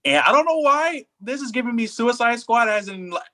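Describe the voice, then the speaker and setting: A man's voice: very high voice, animated, a little fast, sharp tone. A man co-hosting a podcast in a casual, conversational chat style. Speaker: podcast co-host.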